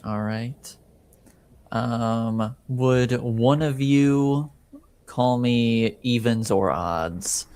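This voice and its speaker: A man running a tabletop roleplaying game as game master, narrating in a halting, measured way with pauses. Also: mid-range voice, darkish tone, clear, resonant tone.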